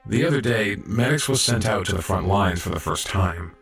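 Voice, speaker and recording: An actor playing an earnest, modest young robot apprentice medic in an audio drama, reflective and a little hesitant. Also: male voice, on the low side, animated, normal speed, fairly bright tone, soft background music. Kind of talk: audio drama acting and narration.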